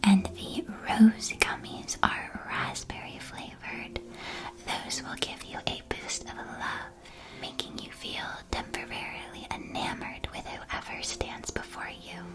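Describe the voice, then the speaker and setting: A woman performing an ASMR roleplay as a friendly magical candy shopkeeper, speaking in a soft, breathy whisper with gentle, unhurried sales patter. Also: medium pitch, very animated. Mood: gentle, inviting.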